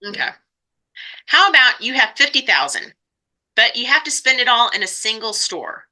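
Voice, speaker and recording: A woman's voice: fairly high pitch, very animated, normal speed, pushed and bright. A woman hosting a podcast interview in a casual, conversational style. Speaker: podcast host.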